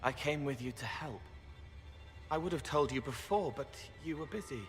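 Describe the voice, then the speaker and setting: An actor voicing a gentle, boyish young spirit in a game cutscene, earnest and literal, speaking in short cryptic phrases. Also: male voice, mid-range voice, normal speed, natural voice. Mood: plain, quiet. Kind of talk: video game cutscene acting. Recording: hall reverb, game music behind.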